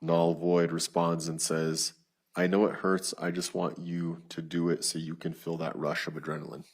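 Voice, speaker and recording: A man testifying as a detective in court, reading written messages aloud in a flat, procedural, matter-of-fact way with a husky voice. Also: deep voice, moderate pace. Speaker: lead detective testifying as witness.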